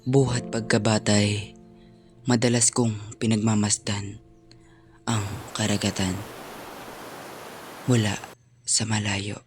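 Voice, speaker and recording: A man playing an earnest, sorrowful young student poet in a solemn, measured dramatic monologue. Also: fairly low pitch, flat delivery. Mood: earnest, resolute. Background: faint music.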